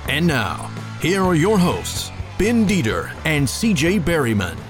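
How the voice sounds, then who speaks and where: Lively higher male announcer over guitar. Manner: drawn-out, emphatic announcer. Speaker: show intro announcer. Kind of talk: scripted show intro.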